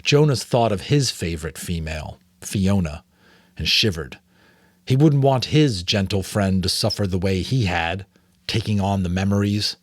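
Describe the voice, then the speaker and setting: A man reading a story aloud dramatically as host, in an expressive, theatrical manner with casual asides. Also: low-pitched, moderate pace, no room sound, studio quality. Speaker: audiobook reader and host.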